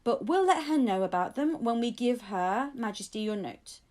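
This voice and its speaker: A woman voicing a courteous, reassuring male royal guard lieutenant in a storybook, formal and measured. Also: fairly high pitch, expressive, darkish tone, natural voice. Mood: proud, friendly.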